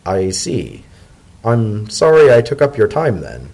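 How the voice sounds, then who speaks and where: A man's voice: lowish voice, huge pitch swings, a little slow, gravelly, a little mellow, breathy edge, exaggerated voice. A man reading the part of a male pony government secretary in a storybook tale, polite, earnest, formal and deferential. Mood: polite, puzzled.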